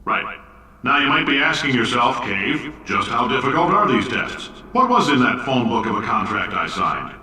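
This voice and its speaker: A man's voice: mid-range voice, bright, forceful tone. An actor playing a brash, cocky, middle-aged American science company boss in a video game, delivering blunt announcements like a salesman. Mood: brash, dismissive. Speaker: company founder and CEO. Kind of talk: recorded facility announcements, salesman-like speech. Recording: echoey PA announcement, distant, faint noise.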